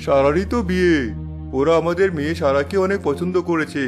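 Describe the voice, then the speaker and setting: A middle-aged cartoon father , acted by a man, patient but firm. His voice is a little high and he reasons earnestly in this family drama. Music is clearly heard behind him. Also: brisk, natural voice.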